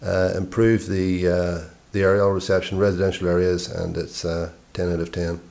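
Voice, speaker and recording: A middle-aged man giving an informal product review in short, matter-of-fact phrases with hesitant pauses. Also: low voice, level tone, gravelly, a little mellow.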